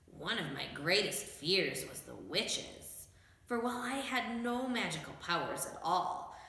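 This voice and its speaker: A woman's voice: on the low side, very animated, a little slow, gravelly, bright, forceful tone, heavy reverb, exaggerated voice. A woman voicing a very old storybook wizard, meek and apologetic, who reasons and confesses mildly. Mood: confessional. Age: older.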